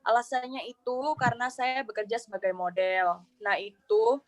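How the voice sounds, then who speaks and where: A woman's voice: on the high side, normal speed, natural voice. A woman playing a 28-year-old model who tells a counseling group about her love troubles in a chatty, earnest way. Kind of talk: student counseling roleplay.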